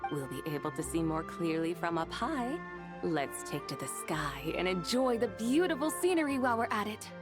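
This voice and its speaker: A woman's voice: fairly low pitch, big pitch swings, normal speed, music in the background. An actress playing a serene, gracious otherworldly lady in a video game, speaking in formal, courtly language. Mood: serene, admiring. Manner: formal, eloquent, poised. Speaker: game voice actor. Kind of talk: poetic, courtly game character acting.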